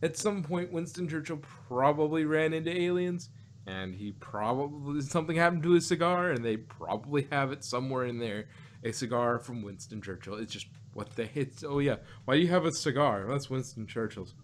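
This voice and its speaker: A man's voice: medium pitch, normal speed, a little hiss or hum. A man co-hosting an audio drama review podcast, talking in a rambling way with many restarts and fillers. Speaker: podcast co-host. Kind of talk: casual chat.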